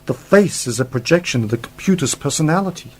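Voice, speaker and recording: A man's voice: fairly high pitch, expressive, fast talker, breathy edge. A man acting as a brilliant, confident space-travelling scientist uncle in a radio drama, explaining things in an assured, theatrical way. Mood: excited, assured. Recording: noticeable background noise.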